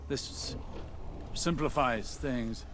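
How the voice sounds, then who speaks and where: A man playing a solemn, intense scholar in a video game, reasoning aloud in a measured, deliberate way. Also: mid-range voice. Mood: absorbed, realizing. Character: cerebral, solemn male scholar, intense and absorbed. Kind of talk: dramatic video game exposition. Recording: noisy game ambience behind voice.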